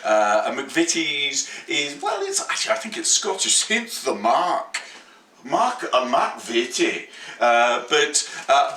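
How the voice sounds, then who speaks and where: A middle-aged man, hosting a home cooking demonstration in a kitchen, chatty, playful and excitable. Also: medium pitch, reverberant, recorded from a distance, slight background noise. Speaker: cooking show host.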